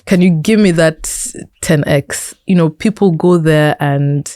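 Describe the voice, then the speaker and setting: A woman's voice: sits low, a little slow, warm tone, no room sound, clean studio sound. A woman with a Kenyan accent speaking as an interview guest, explaining things conversationally with examples and emphatic repetition. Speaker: interview guest, tech entrepreneur and founder.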